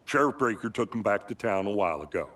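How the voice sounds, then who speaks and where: A man's voice: fairly low pitch, lively intonation, fast, a bit bright, far from the mic. A man playing a bumbling small-town deputy in a video game, folksy, hesitant and awkwardly polite. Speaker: sheriff's deputy. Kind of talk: comic-relief video game acting.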